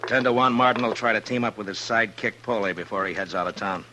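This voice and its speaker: An actor playing a stern, weary Old West marshal in a terse, authoritative way, in 1950s radio western style. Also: male voice, lowish voice, fast talker, background noise, natural voice. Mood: grimly determined. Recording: vintage 1950s radio drama recording.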